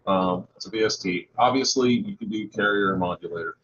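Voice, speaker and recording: A man's voice: lowish voice, fairly quick, a bit bright. A man chatting casually as a guest panelist, hesitant and repeating words as he goes. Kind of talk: casual chat. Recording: dull lo-fi call with background noise.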